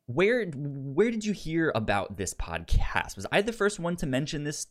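A man co-hosting a casual podcast chat, talking informally and haltingly, with a stutter that repeats sounds and syllables. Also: fairly high pitch, sing-song. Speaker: podcast co-host.

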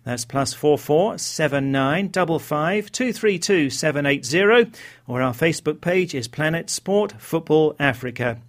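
A man's voice: a little high, lively intonation, measured pace. A man presenting a radio football show, reading out contact details and introducing the next report.

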